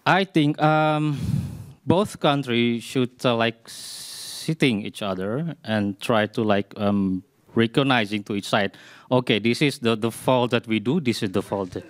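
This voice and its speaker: A man, asking a question from the audience at a public debate in halting English full of fillers and restarts. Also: medium pitch, dry recording, studio quality. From Indonesia. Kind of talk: hesitant spontaneous remarks.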